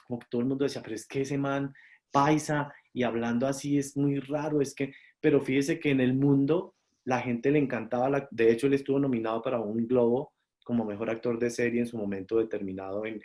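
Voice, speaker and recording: A man's voice: medium pitch, fairly even intonation, fairly quick, mellow. A Colombian man, a series producer, answering interview questions in a thoughtful way with many fillers and restarts. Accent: Colombian Spanish.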